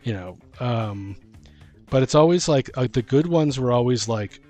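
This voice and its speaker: A man's voice: medium pitch, animated, moderate pace, a little mellow. Man, mid-range voice, casual podcast co-host, dungeon master, rambling and thinking aloud, music in the background. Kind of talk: casual podcast chat about tabletop gaming. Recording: music playing behind the talk.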